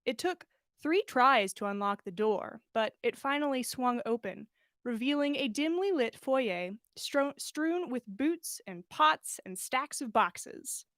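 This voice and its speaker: A woman's voice: high-pitched, expressive, takes it slowly, a bit bright, dry recording, clean studio sound. A woman hosting a livestream, chatting casually and reading fan fiction aloud in a bubbly, self-deprecating way. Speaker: podcast host and story reader.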